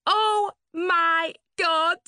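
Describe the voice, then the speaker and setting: A woman reading a story, voicing a tactless, nosy young woman who squawks and gushes in drawn-out exclamations. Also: very high voice, steady tone, bright, forceful tone, no room sound, studio quality, pitched above the performer's own voice, heavily acted. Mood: gushing.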